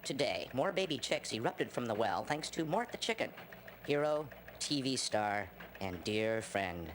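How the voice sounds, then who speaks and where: A man voicing an excitable cartoon rooster news anchor in a dramatic mock broadcast announcer's manner. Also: medium pitch, unhurried. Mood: earnest announcing. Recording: noisy game audio from a stream.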